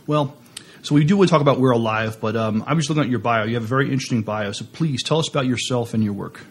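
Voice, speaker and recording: A man's voice: mid-range voice, very fast, husky. A man co-hosting a podcast interview, speaking in a presenter's introducing style. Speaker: podcast co-host.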